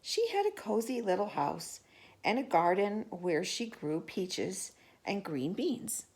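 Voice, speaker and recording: A woman storyteller reading a children's picture book aloud expressively, pausing to ask the listener questions. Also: mid-range voice, big pitch swings, a little mellow.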